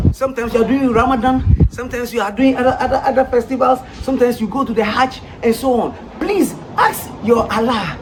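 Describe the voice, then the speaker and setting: A woman with a Ghanaian accent preaching, firing off repeated rhetorical questions and laughing between them. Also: a little high. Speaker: street preacher. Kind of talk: preaching to listeners. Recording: noisy ambient background.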